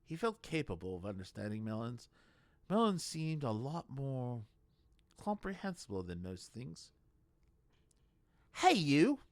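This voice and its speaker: A man narrating a comic fantasy novel aloud, deliberate, with small slips and restarts. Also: mid-range voice, big pitch swings, dry recording. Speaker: audiobook reader. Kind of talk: storybook narration.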